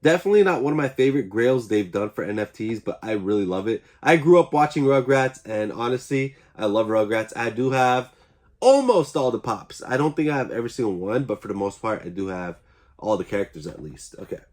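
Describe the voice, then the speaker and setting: A man's voice: medium pitch, lively intonation, a little fast, a little mellow. Congested, upbeat young man unboxing collectibles. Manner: upbeat, enthusiastic, chatty. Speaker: YouTube collector channel host. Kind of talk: casual unboxing vlog.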